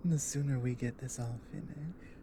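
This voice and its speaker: A woman voicing a big, affectionate female orc companion in an intimate ASMR roleplay, speaking softly and slowly in a breathy half-whisper. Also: a bass voice, noisy background, voice pushed down. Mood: tender, longing. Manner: slow, intimate, tender.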